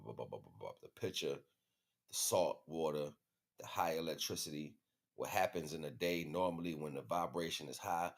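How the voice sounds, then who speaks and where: An American man livestreaming an informal spiritual monologue to viewers, speaking in emphatic, repetitive, slangy street talk. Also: sits low. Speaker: livestream host.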